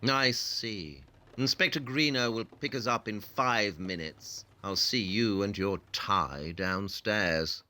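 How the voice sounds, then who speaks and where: An actor playing a haughty, probing detective in an audio play, with precise, pedantic delivery. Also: male voice, lowish voice, slow, sharp tone. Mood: probing, piqued. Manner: precise, clipped, pedantic interrogation.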